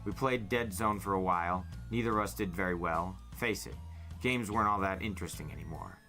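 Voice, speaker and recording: A man reading a first-person novel aloud as a storyteller, in animated audiobook-style narration. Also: low voice, a little fast, soft background music. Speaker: podcast host reading a novel.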